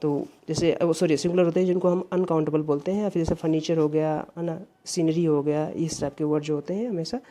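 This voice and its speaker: Low, mellow-voiced woman teaching grammar. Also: steady tone. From India. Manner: repetitive, reads example sentences slowly and deliberately. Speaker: English exam teacher. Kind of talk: explaining grammar questions.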